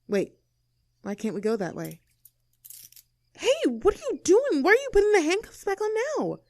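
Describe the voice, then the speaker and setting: A woman playing a sarcastic, standoffish young mean girl with a soft side in an audio roleplay, talking in a casual, teasing, blunt way. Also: a little high, vocal fry, no echo. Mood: suspicious, urgent. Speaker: voice actor. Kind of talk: scripted audio roleplay, naturalistic one-sided dialogue.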